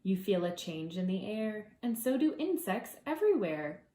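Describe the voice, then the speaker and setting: A woman reading aloud to preschoolers as their teacher, expressive and gentle, drawing out words and adding conversational asides. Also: medium pitch, echoey room, slight background noise. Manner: gentle, deliberate, drawing out words for young listeners.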